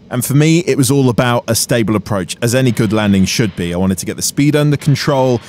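A man's voice: on the low side, a little mellow, a little hiss or hum. An Englishman in his thirties, a pilot vlogging about flying in a matter-of-fact way. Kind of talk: vlog narration and cockpit talk. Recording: faint engine drone behind.

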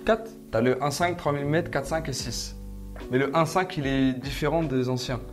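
A young man with a mid-range voice chats casually as co-host of a barber product review. He is playful and quick to chip in, with music clearly heard behind his lapel-miked voice. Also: medium pitch. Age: young adult. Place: indoor studio. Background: background music.

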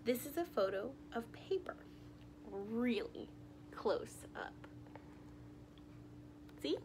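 A young woman reads a picture book aloud for small children. Her voice is fairly high and swings animatedly up and down, while she takes her time over the words. She talks straight to her young listeners in an expressive storytime manner, recorded in a living room. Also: takes it slowly, noisy background. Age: young adult.

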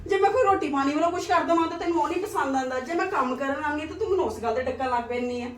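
A woman plays a gentle, patient sister-in-law and mother who coaxes and soothes in a high voice. She talks from a little way off the mic. The room rings with echo. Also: rapid-fire, far from the mic, natural voice. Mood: coaxing. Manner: gentle, placating, reasoning. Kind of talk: family drama skit.

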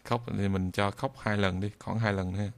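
A man giving an unscripted software tutorial in a hesitant, halting way, often repeating phrases. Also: on the low side, fairly even intonation. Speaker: video tutorial presenter.

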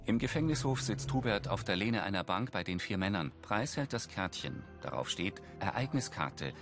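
A man's voice: on the low side, very animated, moderate pace, music in the background. A man reading audio description for a TV series in a neutral, matter-of-fact way. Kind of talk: scripted descriptive narration of a TV series.

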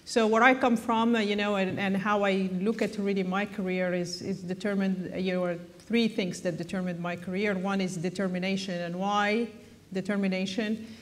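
A woman's voice: mid-range voice, flat delivery, moderate pace. A middle-aged woman from Morocco speaking on a conference panel, earnest and encouraging, often restarting her phrases. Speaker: panelist, biotech executive. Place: large hall, conference stage. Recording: long hall reverb.

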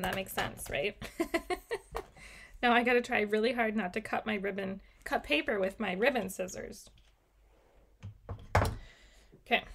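A woman's voice: medium pitch, gravelly, fairly bright tone. A young woman teaching a live card-making class at her craft desk, chatting viewers through each step. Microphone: overhead camera on desk, mic off screen.

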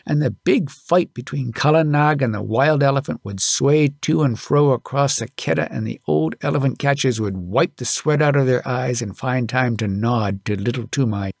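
A man narrating an audiobook as an expressive storyteller. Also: medium pitch, creaky, slightly rough, no echo, studio quality.

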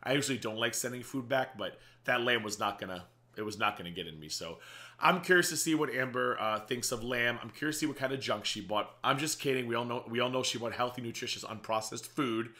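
A man in his thirties talks quickly and sarcastically through a reaction video, his voice bright with a slight rasp. He sits at a desk in a small home office with earbuds in. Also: medium pitch. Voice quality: slightly raspy from a strained voice. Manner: chatty and sarcastic, quick asides. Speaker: reaction channel host. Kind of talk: casual reaction commentary.

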